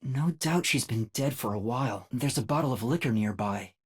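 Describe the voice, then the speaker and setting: A timid young video game detective with a light, boyish voice that swings widely in pitch. He is earnest and anxious, hesitant and prone to stammering. The recording is close and very dry. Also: animated, moderate pace, slightly rough, no room sound. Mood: grim, observing. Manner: hesitant, stammering when flustered. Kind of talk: video game voice acting, dramatic lines.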